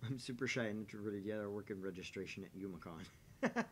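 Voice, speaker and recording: A man hosting a livestream, chatting casually and earnestly into a microphone. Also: fairly quick. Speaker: livestream host of a gaming channel. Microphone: microphone on a stream setup. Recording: noisy mic with low rumble.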